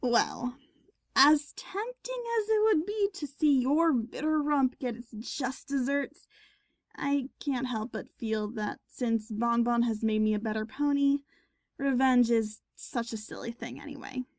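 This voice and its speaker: Woman voicing cartoon pony musician, female, sardonic, forgiving, wry delivery, highish pitch, dry sound. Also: measured pace, dry recording. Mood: friendly, forgiving. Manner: wry, teasing, wordy mock-formal. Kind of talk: audio drama character acting.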